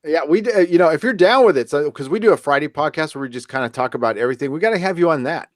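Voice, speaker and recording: A man's voice: on the high side, expressive, rapid-fire, dry recording, clean studio sound. A man hosting a podcast in loose, joking, casual chat and reading out comments. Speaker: podcast host.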